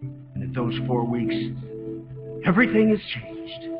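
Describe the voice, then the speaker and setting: A man's voice: mid-range voice, big pitch swings, unhurried, long reverb tail, narrow, tinny audio. A man playing a pompous, blustering, middle-aged Soviet colonel in a video game, with theatrical delivery. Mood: bitter, scornful. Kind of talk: dramatic video game acting. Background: loud music.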